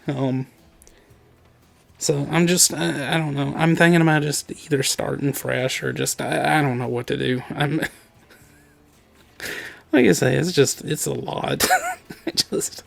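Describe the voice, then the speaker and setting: Casting note: worn-out, hoarse man talking into his desk mic, gravelly, halting and rambling. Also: fairly high pitch, fairly even intonation. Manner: rambling, halting, with many restarts and nervous laughs. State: tired. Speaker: gaming channel host. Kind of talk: casual personal update to viewers. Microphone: desk microphone.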